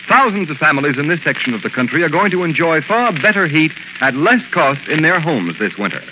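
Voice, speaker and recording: A man announcing a scripted 1930s radio advertisement with formal, emphatic salesmanship. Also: a little high. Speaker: radio announcer and sponsor spokesman. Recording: noisy, muffled 1930s radio broadcast.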